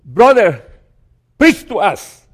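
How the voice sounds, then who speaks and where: A man's voice: high voice, big pitch swings, slow, breathy edge, voice pushed up, heavily acted. A man playing a welcoming male pastor in a story, speaking in a raised and eager voice. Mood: delighted. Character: grown male Korean pastor, glad and welcoming.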